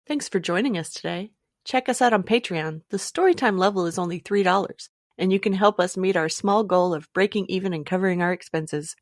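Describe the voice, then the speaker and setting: A woman's voice: medium pitch, no echo, clean studio sound. A woman reading a scripted podcast outro as the show's host.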